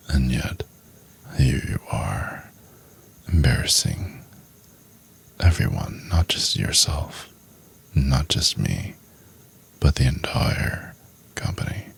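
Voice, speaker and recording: A man playing a cold, contemptuous CEO in an ASMR roleplay, talking slowly and condescendingly in a breathy, often half-whispered voice. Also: full character voice. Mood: cold contempt. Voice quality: very deep, gravelly, breathy. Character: cold, contemptuous, domineering male CEO berating an intern.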